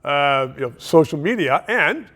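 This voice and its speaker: A man with a notably high-pitched voice hosts a political interview. He prompts his guest with short, direct questions and speaks straight to his viewers between them. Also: sing-song, unhurried, fairly bright tone. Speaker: interview show host.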